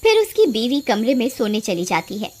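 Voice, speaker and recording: A woman narrating a cartoon story in a plain, steady storytelling manner. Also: on the high side, normal speed. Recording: music behind the voice.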